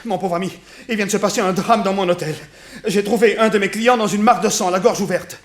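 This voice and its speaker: Actor as a fussy hotel manager, echoey, high voice. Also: male voice, fairly even intonation, fairly quick, slight background noise, lightly acted. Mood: flustered, alarmed. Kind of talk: French radio detective drama acting.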